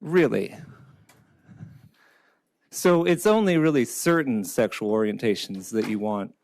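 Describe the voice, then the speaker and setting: A man questions a fellow legislator during a hearing, pointed and incredulous as he presses her. His voice is lowish and gravelly with fry. It sounds dry and close. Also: a little slow, a little mellow, no echo. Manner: pointed, incredulous cross-examining. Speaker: state legislator questioning a colleague.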